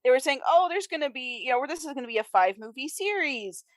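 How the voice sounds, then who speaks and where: A woman's voice: high-pitched, animated, quick pace, no room sound. A woman discussing films casually as a podcast panel host, chatty and prone to acting out imagined quotes.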